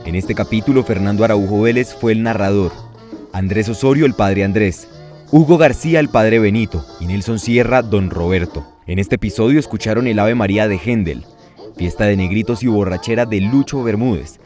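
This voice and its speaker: A man with a lowish voice reads the credits in a friendly, plain way, with soft music playing faintly behind him. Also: brisk. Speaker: announcer reading the credits. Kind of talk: scripted credit announcement.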